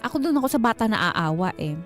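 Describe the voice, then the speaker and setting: A woman with a Filipino accent hosting a casual call-in radio show, chatty and animated, talking straight to her listeners. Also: fairly high pitch, fairly quick. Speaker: radio host. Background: music.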